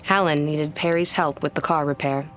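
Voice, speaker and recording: An actress in an audio drama playing a guarded but loyal scholar, speaking earnestly and hesitantly. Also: female voice, sits low, fairly even intonation, normal speed, soft background music, close to an everyday voice. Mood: hesitant confession. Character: guarded, loyal female scholar hiding a secret.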